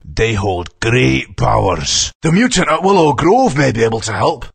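A gravelly wise guide. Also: male voice, mid-range voice, big pitch swings, moderate pace, heavily acted. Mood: advising.

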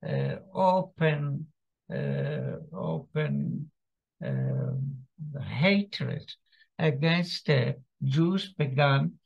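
A man in his eighties with a Slovak accent gives a personal testimony talk in a halting, hesitant way over a video call from home. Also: medium pitch, sing-song, gravelly, slightly rough. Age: 80s. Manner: halting, hesitant delivery with long pauses. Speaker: guest speaker, survivor giving testimony. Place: small home room indoors. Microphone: computer mic on a video call. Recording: muffled, lo-fi video-call audio.

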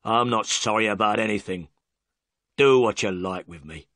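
A man's voice: on the low side, normal speed, fairly bright tone, dry recording, studio quality, close to an everyday voice. A man doing a sullen, weary prisoner. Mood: bitter. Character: sullen weary middle-aged male prisoner.

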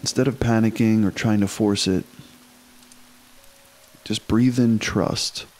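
Male meditation guide, lowish voice, slow and unhurried with long pauses, music underneath. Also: fairly even intonation, a little mellow.

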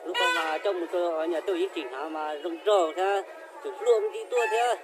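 A man's voice: high voice, moderate pace, very noisy. A man in his thirties vlogging while shopping in a clothing store, chatting casually with many fillers.

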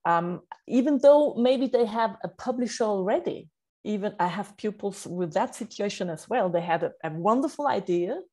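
A woman's voice: medium pitch, animated, normal speed, dark tone. A middle-aged woman whose first language is German, explaining her book coaching earnestly in a podcast interview, with many fillers and restarts.

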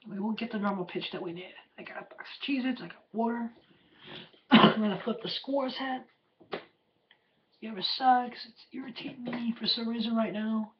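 A hoarse man hosting his podcast from a room at home, talking in a loose, chatty way full of fillers and plugs. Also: extremely high pitch, moderate pace, muffled recording, background noise. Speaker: podcast host. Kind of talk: casual rambling podcast monologue.

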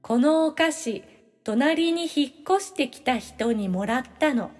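Very high-voiced woman reading lesson sentences. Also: animated, a little slow, a little mellow, in a large hall, faint music behind. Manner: clearly phrased, instructional. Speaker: language-lesson reader. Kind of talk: scripted audio-drama sentences for learners.

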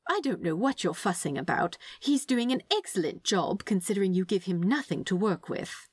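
A woman's voice: mid-range voice, animated, normal speed, a little mellow, slightly rough, no echo, studio quality. A woman voicing a prim, doting male angel with a fussy, polite manner in a storybook reading. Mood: mildly exasperated. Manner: fussy, polite, cooing, tutting. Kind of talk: storybook character voice in fan fiction reading.

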